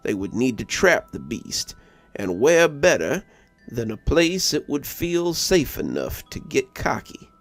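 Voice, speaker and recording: A man's voice: mid-range voice, sing-song, a bit bright, dry recording, faint music behind. A man voicing a solemn, stoic local who recounts his tribe's old legend in a horror story. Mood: grave, foreboding. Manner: grave, stoic, deliberate. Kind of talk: storybook dialogue in horror narration.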